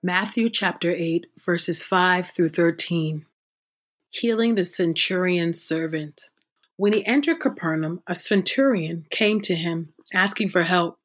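A woman reading Bible passages aloud and then praying, earnest and measured, often repeating "oh God". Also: on the low side. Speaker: Bible reader. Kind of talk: scripture reading and spoken prayer.

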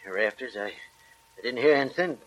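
Husky, tense frightened farmer. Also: male voice, medium pitch, lively intonation, fairly bright tone, rough recording. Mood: nervously evasive. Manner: halting, nervous stammering. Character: frightened, timid male farmer held at gunpoint. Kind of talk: 1940s radio crime drama acting. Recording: old 1940s radio recording.

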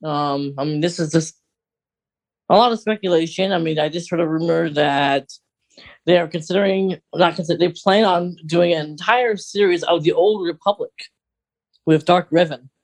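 A podcast co-host talks in a casual, chatty way with a medium-pitched voice. The delivery is hesitant, as if thinking out loud while speculating as a fan. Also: normal speed, rough recording.

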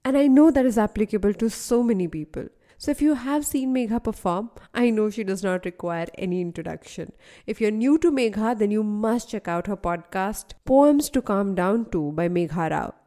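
Indian woman hosting a podcast, soft mellow voice, middle pitch, laughs a lot. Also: expressive, normal speed. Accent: Indian English. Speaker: podcast host. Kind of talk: podcast interview.

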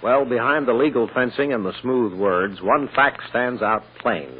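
A man's voice: mid-range voice, normal speed, slightly rough. An American man narrating an old radio serial as its announcer, with a folksy, dramatic delivery. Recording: tinny, narrow old radio recording.

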